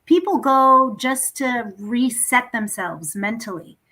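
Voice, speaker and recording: A woman from Ethiopia, a singer guest, speaking warmly and reflectively in a casual interview over a video call. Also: fairly high pitch, animated, slow. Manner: warm, reflective, laughs easily.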